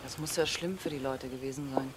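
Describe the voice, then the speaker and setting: A woman playing a soft-spoken, wondering visitor in naturalistic radio drama dialogue, quiet and breathy. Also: a bass voice, steady tone, brisk. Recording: loud ambient noise, voice faint.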